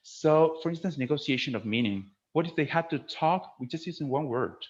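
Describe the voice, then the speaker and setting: A man's voice: a little high, animated, a little fast. A man presenting a webinar to fellow teachers in a didactic way, stressing key words and often checking in with a short questioning tag. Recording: dull lo-fi online webinar audio.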